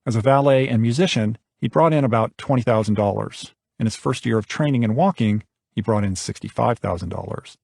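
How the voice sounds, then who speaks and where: A man's voice: mid-range voice, fairly quick, creaky, darkish tone, no echo, clean studio sound. A man narrating a nonfiction audiobook from a script.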